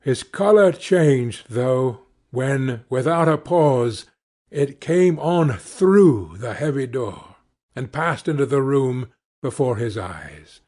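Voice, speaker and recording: A man reading a classic novel aloud as an audiobook, with measured and expressive storytelling. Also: mid-range voice. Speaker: audiobook reader. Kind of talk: scripted narration of a classic novel.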